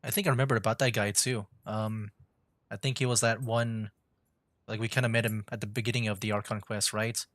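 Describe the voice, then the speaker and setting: A man's voice: on the low side, flat delivery, a little fast, fairly bright tone, no room sound. A man livestreaming a game, reading dialogue aloud and commenting in an informal, offhand way. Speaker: streamer.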